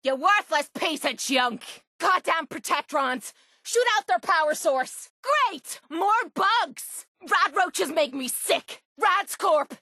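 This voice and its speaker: A woman's voice: extremely high pitch, expressive, slow, pushed and bright, dry recording, studio quality, heavily acted. An actress voicing a tough, foul-mouthed young Irish woman, a bitter and wounded fighter in a video game, with a brash, blunt manner. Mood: combative, alarmed. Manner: brash, blunt, swearing, slangy. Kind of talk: video game character voice acting.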